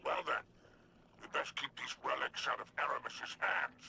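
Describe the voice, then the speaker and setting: Gravelly, pompous alien crime boss. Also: male voice, mid-range voice, moderate pace, background noise. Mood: sly. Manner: pompous, theatrical, florid wording. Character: wily, self-important alien crime boss with a reputation. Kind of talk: video game character acting. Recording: loud game music behind.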